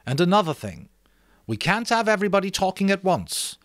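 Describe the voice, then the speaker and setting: A man's voice: high voice, very animated, brisk, a bit bright, no echo, studio quality, voice pushed up. A man reading a novel aloud, playing an earnest boy who leads a meeting with emphatic, declarative speech. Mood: earnest, assertive. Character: earnest fair-haired boy chairing a meeting as leader. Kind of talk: amateur dramatic reading of a novel.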